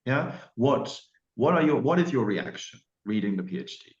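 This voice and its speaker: A man explaining the application requirements at an online information session, measured and peppered with "you know" asides. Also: medium pitch, very animated. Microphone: video call. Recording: roomy, muffled call audio.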